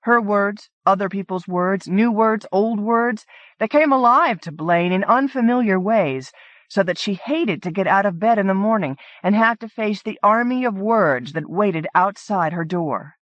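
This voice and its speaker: A woman reading a story as an audiobook narrator, in an expressive storytelling manner. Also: medium pitch, unhurried, dry recording, lo-fi sound. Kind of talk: scripted fiction narration.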